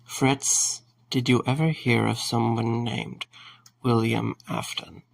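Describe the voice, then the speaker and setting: A man playing a wry, concerned young man in an audio drama, speaking in a low-key, halting way. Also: little pitch movement, creaky. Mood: grave, determined.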